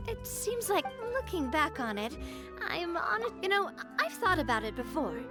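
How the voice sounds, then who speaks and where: A woman's voice: very high voice, very animated, normal speed. A woman voicing an elegant, earnest young noblewoman in a video game, articulate and easily flustered. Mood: wistful, reflective. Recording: game music behind the voice.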